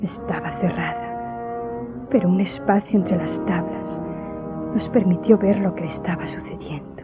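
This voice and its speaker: A grieving daughter narrates in a radio drama, solemn and measured. The track is slightly muffled, with clear music behind her. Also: lowish voice. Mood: solemn, tense.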